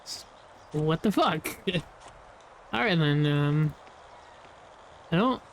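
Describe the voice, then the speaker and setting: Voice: mid-range voice, lively intonation, slow pace, slight background noise. A young adult gamer talking loosely over gameplay, rambling, laughing often and swearing casually. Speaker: gameplay video creator.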